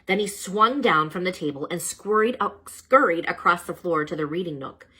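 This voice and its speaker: A woman reading a children's storybook aloud as a storyteller, dramatic and expressive, with chatty asides to the listeners. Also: on the low side, normal speed, breathy edge, rough recording.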